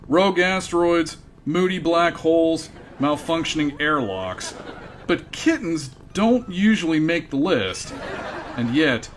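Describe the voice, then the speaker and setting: A man's voice: on the high side, fairly bright tone. A man playing a wry, theatrical narrator of a sci-fi comedy radio drama. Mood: mock-ominous.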